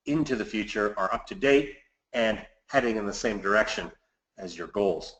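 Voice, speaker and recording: A man's voice: medium pitch, fairly bright tone. A man giving a scripted market commentary as a financial advisor hosting a client webinar, recorded in a roomy, echoey space.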